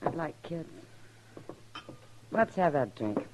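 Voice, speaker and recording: A woman's voice: deep voice, sing-song, slow, exaggerated voice. An American actress playing a worldly, weary frontier saloon woman in a radio western, wry and plain-spoken. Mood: wry, wistful. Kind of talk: old-time radio western acting. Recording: muffled noisy vintage radio, saloon crowd.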